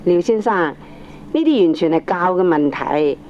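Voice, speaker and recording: An actress with a Hong Kong accent playing an opinionated middle-aged aunt, lecturing firmly and emphatically in a radio drama. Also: female voice, fairly low pitch, expressive, normal speed, a little mellow. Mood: lecturing, critical. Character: opinionated aunt, firm, preachy family adviser. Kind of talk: Cantonese radio drama acting. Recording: dull, slightly noisy old cassette recording.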